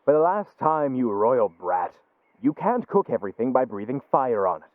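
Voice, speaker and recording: A man's voice: mid-range voice, big pitch swings, moderate pace, no room sound, narrow, tinny audio, lightly acted. A man playing a prickly, disdainful skinny magician, scolding and exasperated, in an audio drama. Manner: scolding, indignant.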